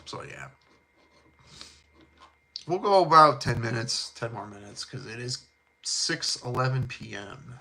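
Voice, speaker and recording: Bright-voiced Chicago South Side man. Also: medium pitch, sing-song, a little slow, vocal fry, pauses often, slight background noise. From Chicago, USA. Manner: laid-back, rambling, chummy, with fillers and laughs. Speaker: fragrance channel host. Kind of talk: casual live chat.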